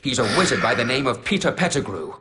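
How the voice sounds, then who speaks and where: A man voicing a calm adult wizard teacher in video game cutscenes, explaining things in a steady, reassuring way. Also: mid-range voice, fast.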